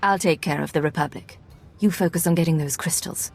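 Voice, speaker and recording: A woman's voice: deep voice, a little fast, darkish tone, faint music behind. A woman playing a cool, confident Imperial agent in a video game, terse and self-assured. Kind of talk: dramatic video game acting.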